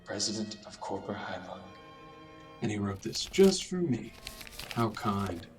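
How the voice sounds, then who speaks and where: A man's voice: lowish voice, very animated, unhurried, far from the mic, faint music behind. A man playing an eager young doctor in a radio drama, musing to himself with dry wit. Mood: wry, musing. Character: eager, wry young male doctor arriving at a new post.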